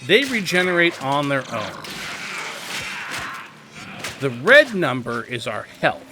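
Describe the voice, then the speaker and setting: Animated man explaining a video game. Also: a little high. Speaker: video game guide host. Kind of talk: casual instructional gameplay commentary. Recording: clear background game noise. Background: video game ambience.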